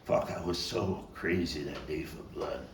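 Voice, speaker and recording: A man performing a dramatic stage monologue as a vengeful young gang member, profane and intense, halting and repeating his words. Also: a bass voice, creaky, full character voice. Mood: brooding, tense. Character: volatile, vengeful male street gang youth recounting a bloody fight. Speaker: actor and playwright performing his own monologue. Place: echoey room, table read. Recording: echoey, noisy room, distant mic.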